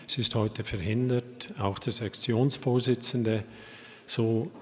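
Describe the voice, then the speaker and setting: An older man with a Swiss German accent gives a halting opening address, full of fillers and restarts as he checks his notes. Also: medium pitch, fairly even intonation. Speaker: architect giving an opening address. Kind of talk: hesitant welcome speech at an exhibition opening. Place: academy event hall. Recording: long hall reverb.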